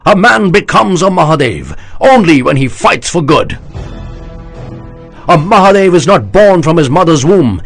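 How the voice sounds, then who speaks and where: A man's voice: on the high side, sing-song, normal speed, gravelly, a bit bright, faint music behind, pitched above the performer's own voice, full character voice. A man voicing a commanding divine warrior leader who bellows to rally his army in a storybook reading. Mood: rousing. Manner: bellowing, rousing.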